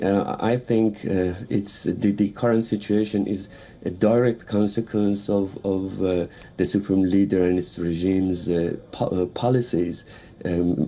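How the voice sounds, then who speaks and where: A man from Iran speaking English with a Persian accent, a political analyst giving a halting live news interview full of fillers and restarts. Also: sits low, steady tone, mellow.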